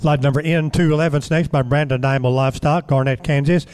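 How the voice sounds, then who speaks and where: A man's voice: on the high side, fairly even intonation, fairly quick, a little mellow. A man reading out cattle lot details for an auction broadcast in a plain, list-like way.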